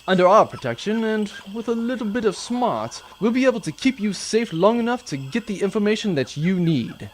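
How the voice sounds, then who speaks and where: Boastful space captain, male actor, grandiose, higher-pitched and animated, comedic sci-fi audio drama, faint noise behind. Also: a little fast, hardly any pauses. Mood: confident, boastful. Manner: grandiose, theatrical.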